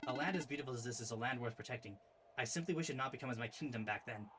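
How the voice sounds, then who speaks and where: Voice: mid-range voice, rapid-fire, over music, voice pushed down, close to an everyday voice. A performer voicing a solemn, formal ancient royal in a visual novel, with stately phrasing. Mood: wistful, solemn.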